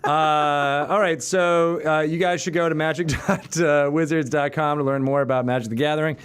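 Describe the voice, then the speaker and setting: A man's voice: a little high, steady tone, moderate pace, fairly bright tone, clear, resonant tone. A man chatting casually and playfully as the host of a podcast. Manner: jokey, playful. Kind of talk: casual banter and show sign-off.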